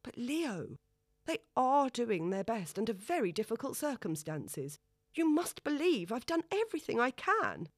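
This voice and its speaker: A woman's voice: fairly high pitch, sing-song, measured pace, no echo, clean studio sound, voice pushed up, exaggerated voice. A woman doing a meek, pleading mother. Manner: soft, apologetic, pleading. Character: anxious, meek mother placating ex-husband.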